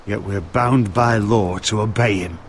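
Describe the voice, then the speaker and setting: An actor playing a gruff, blunt, loyal castle soldier in a dramatic fantasy game. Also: male voice, on the low side, measured pace, a little hiss or hum, lightly acted. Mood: frustrated, grim. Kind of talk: dramatic video game voice acting.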